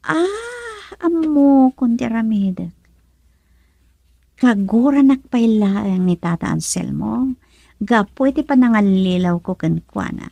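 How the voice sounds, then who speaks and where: Scheming radio-drama woman: high-pitched, slow, deliberate taunts, theatrical mockery. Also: expressive, vocal fry, mellow, no room sound, heavily acted. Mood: sulky, resentful. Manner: taunting, theatrical, laughing mockingly. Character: scheming, mocking, gold-digging woman with a taunting streak.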